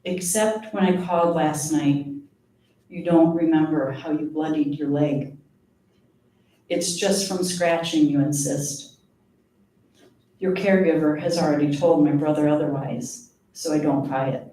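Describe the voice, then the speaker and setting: A woman giving a live poetry reading of her own work, in a measured, deliberate way with pauses. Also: sits low, fairly even intonation, warm tone. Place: live reading event before an audience. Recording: very echoey room, distant mic, faint noise.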